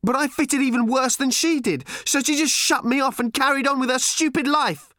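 A man voicing a furious, hurt teenage girl who shouts and nearly cries. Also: moderate pace, husky, dry recording, studio quality, natural voice. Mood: bitter. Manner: shrill, shouting, near tears.

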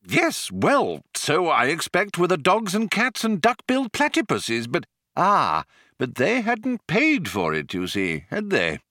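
A man's voice: fairly high pitch, wildly swooping pitch, measured pace, pushed and bright, no room sound, studio quality, pitched above the performer's own voice, heavily acted. A man voicing an elderly alien planet builder in an audiobook, genial, polite, mildly vague and cheerfully understated. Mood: patient, courteous. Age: older. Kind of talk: audiobook character voice.

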